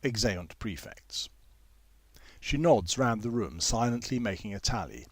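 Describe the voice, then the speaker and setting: A man narrating a literary story from a script in a precise, measured manner. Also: on the low side, animated, no room sound. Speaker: author and narrator.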